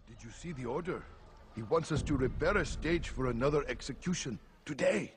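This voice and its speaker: A man playing an indignant, weary city workman in a video game, speaking gravely and bitterly. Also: medium pitch, animated, a little fast, slight background noise, lightly acted. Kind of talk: video game dramatic acting.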